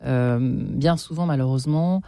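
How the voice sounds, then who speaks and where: A woman's voice: rumbling low, unhurried, creaky, dark tone, no echo, studio quality. A woman hosting a radio interview, cutting in with short prompts and reactions. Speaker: radio host.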